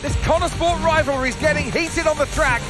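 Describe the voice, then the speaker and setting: A woman playing an excited race commentator in a video game. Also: sits high, fairly quick, music in the background, lightly acted. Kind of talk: sports commentary.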